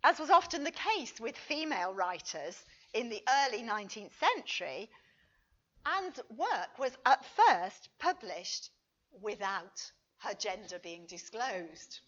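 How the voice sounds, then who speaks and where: Female conference lecturer, unhurried and expressive, reading a prepared paper on a slightly muffled recording. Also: mid-range voice. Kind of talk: scripted academic lecture.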